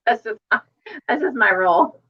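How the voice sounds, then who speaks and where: A woman's voice: on the high side, expressive, moderate pace, slightly rough, lo-fi sound. A woman chatting casually as a guest on a live stream, self-deprecating and restarting her phrases as she goes. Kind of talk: casual chat.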